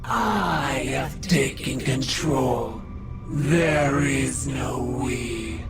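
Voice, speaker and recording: Evil possessed villainess, super low n really slow, bossy menacing, video game. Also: female voice, full character voice. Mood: imperious. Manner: commanding, deliberate. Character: dark possessed soul-claiming villainess, imperious and menacing. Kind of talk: theatrical scripted video game dialogue. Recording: loud music behind voice.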